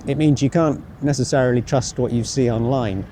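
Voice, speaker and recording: A man's voice: medium pitch, brisk. A man giving casual travel commentary as he walks, thinking aloud in a rambling, wry, self-deprecating way. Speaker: travel vlogger. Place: outdoors, walking by a road and canal. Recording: outdoor street noise with passing vehicles. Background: street ambience, vehicles.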